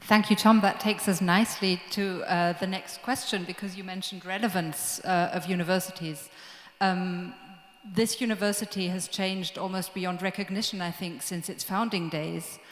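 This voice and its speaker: A woman's voice: fairly low pitch, steady tone, normal speed. A woman moderating a conference panel, posing questions politely and steadily. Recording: echoey conference hall.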